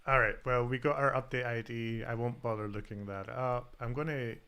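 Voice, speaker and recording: A man with a mild Scottish accent hosts a live-coding session over a video call. His voice has a gravelly, fry-tinged edge, and he thinks out loud with easy self-deprecation. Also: mid-range voice, fairly even intonation, normal speed. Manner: self-deprecating, thinks aloud while typing. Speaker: host. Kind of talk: casual live-coding chat.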